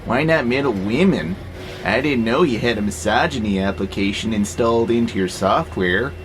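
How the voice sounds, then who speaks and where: An actor playing an obedient, literal-minded freight robot in an audio drama, with a pedantic, matter-of-fact manner. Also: male voice, fairly low pitch, normal speed, natural voice. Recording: clear steady background noise, vehicle-like hum.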